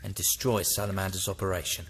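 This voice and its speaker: An actor playing a wary rebel worker in an audio drama, earnest and explanatory. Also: male voice, sits low, level tone, fast, husky. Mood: grave, informative.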